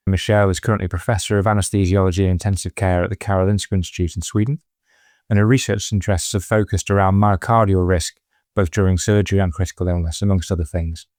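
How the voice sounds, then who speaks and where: Fast-talking low-voiced male host. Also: darkish tone, no echo, clean studio sound. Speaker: podcast host. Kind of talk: podcast interview.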